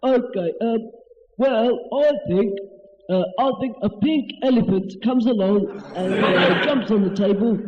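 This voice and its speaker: A man's voice: high-pitched, a little slow, voice pushed up. A teenage male performer playing a cheeky, giggly know-all PE teacher in an amateur radio comedy sketch. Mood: giggly rambling. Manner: giggly, taunting, rambling. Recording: echoey, dull, noisy home tape.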